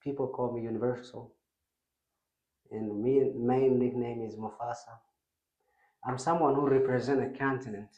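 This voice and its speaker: A man's voice: medium pitch, fairly even intonation, moderate pace, long pauses, mellow, roomy sound, highs cut off. A man from Ethiopia who grew up in Kenya, a guest telling his life story in a reflective way. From Ethiopia, raised in Kenya. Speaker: interview guest.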